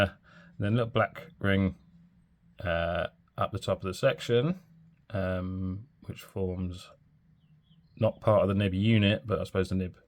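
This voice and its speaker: A man reviewing a fountain pen in a chatty, rambling way, full of ums and small corrections, a little throaty and bunged up from a cold. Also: deep voice. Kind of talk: casual product review.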